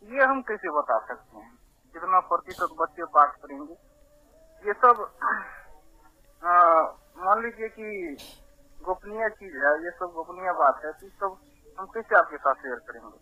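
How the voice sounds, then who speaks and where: A man's voice: high voice, expressive, unhurried, pauses often. A man on a recorded phone call, answering queries as an official, hesitant and repeating himself. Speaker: exam board helpline official. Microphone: telephone.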